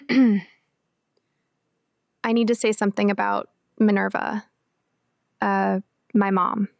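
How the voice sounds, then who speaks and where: A woman playing an embarrassed, angry daughter in an audio drama, confessing in a halting, frank way. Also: mid-range voice, flat delivery, creaky, no room sound, studio quality. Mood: ashamed, angry.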